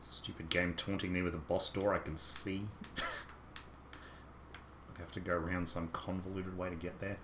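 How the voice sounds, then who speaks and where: A man's voice: sits low, flat delivery, moderate pace, pauses often, reverberant, background noise. A young Australian man with an Australian accent, rambling easily through a game stream while chatting with viewers. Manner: rambling, easygoing, talks to himself about the game. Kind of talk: casual gameplay commentary chatting with live viewers.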